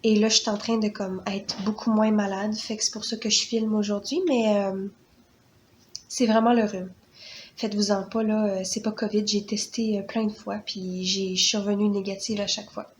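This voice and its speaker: A woman with a Québécois accent, talking through a cold as she gives casual how-to tips in a vlog, pausing often with fillers and restarts. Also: mid-range voice, little pitch movement, slight background noise. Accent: Québécois French. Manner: hesitant, many fillers and restarts. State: stuffy from a cold. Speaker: parenting vlogger.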